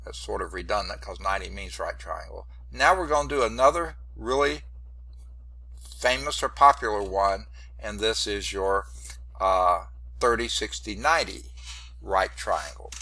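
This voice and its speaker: A male math instructor with a hoarse, congested voice that turns gravelly and creaky. His tone is bright and forward, and he rambles on about his screen setup with self-deprecating asides. He comes through a computer mic on a video call. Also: lowish voice, moderate pace, no room sound. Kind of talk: online class lecture.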